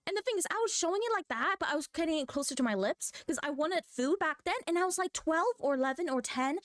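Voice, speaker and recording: High-voiced rambling young woman vlogger. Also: no room sound, studio quality. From Poland. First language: Polish. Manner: chatty, laughs often, rambling. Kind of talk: casual rambling rant.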